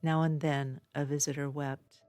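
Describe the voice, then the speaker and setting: A woman's voice: sits low, little pitch movement, normal speed, a little mellow, faint music behind. A middle-aged woman reading a personal letter aloud in an earnest, heartfelt manner. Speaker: podcast host.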